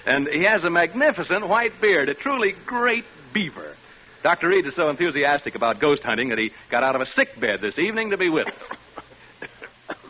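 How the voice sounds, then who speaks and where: A jaunty radio host and ghost hunter in a 1950s radio play, with a bright, animated voice that swings widely. His patter is chatty and joking, and it comes through a dull, hissy old recording. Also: fairly high pitch, normal speed. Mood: jaunty, showy. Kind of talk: 1950s radio drama acting, on-location broadcast patter.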